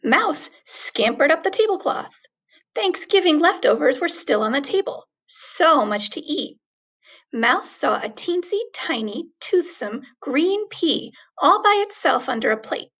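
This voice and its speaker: A woman reading a children's story aloud expressively, with deliberate, dramatic emphasis on words. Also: high-pitched, sing-song, a bit bright.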